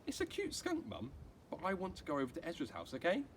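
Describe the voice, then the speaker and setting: Man putting on a high, sharp big sister. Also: huge pitch swings, quick pace. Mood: casual, curious. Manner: loud, sharp, indignant. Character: sharp, exasperated girl, an older sister. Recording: clearly noisy amateur recording.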